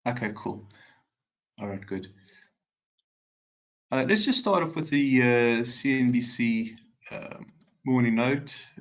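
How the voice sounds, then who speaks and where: A man with a nasal, slightly congested voice hosting a live market webinar, chatting with viewers by name and filling pauses with ums. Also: medium pitch, expressive, lo-fi sound. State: congested from a cold.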